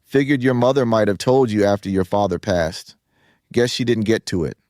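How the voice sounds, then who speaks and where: A man's voice: fairly low pitch, normal speed, a little mellow, no echo, clean studio sound, close to an everyday voice. A man voicing a pragmatic, coolly persuasive businessman in an audio story. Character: smooth, pragmatic businessman, coolly pressing for a sale.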